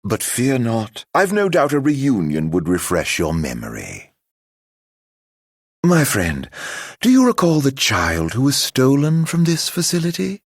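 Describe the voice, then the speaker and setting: A man voicing a mocking, silver-tongued video game villain, with a raspy, drawling, flamboyant delivery and a show of courtesy over cruelty. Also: medium pitch, wildly swooping pitch, a little slow, dry recording, clean studio sound, heavily acted. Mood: smooth menace. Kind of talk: theatrical video game voice acting.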